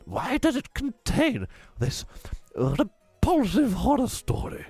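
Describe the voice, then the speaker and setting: Man putting on a shrill, scolding mother. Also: wildly swooping pitch, slow pace, vocal fry, a little mellow, slightly rough, dry recording, faint music behind, full character voice. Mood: stern scolding. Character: strict, scornful middle-aged mother. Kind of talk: voicing a character in a children's book reading.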